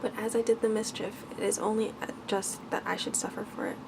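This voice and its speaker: A woman voicing a brave, gentle and dutiful young woman in a storybook, speaking softly, earnestly and politely. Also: medium pitch, flat delivery, a little fast, gravelly, background noise, natural voice. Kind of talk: bedtime storybook reading.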